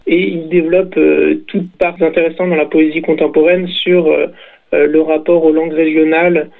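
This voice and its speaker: A young man, a poet, answering interview questions thoughtfully, with many pauses and restarts. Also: fairly high pitch, gravelly. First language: French. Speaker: poet and author. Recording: tinny phone-like radio sound.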